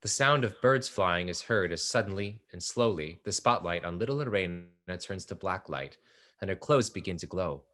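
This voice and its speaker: A man's voice: deep voice, lively intonation, normal speed, fairly bright tone. A man narrating the stage directions of a play from a script.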